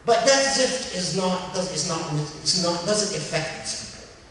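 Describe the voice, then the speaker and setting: A high-pitched man holds forth like a scholar fielding questions at a live gathering. He speaks with feeling, stopping and repeating words to drive them home. His voice rings through a very echoey hall, with faint noise around it. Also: animated, unhurried, a bit bright, recorded from a distance. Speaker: scholar answering audience questions. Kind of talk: impassioned informal Q&A talk.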